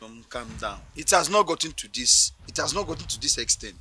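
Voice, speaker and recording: A man with a Nigerian accent playing a boyfriend who pleads with his girlfriend and then shouts at her in a fury. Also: a little high, lively intonation, brisk. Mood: pleading. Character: pleading young boyfriend turned furious.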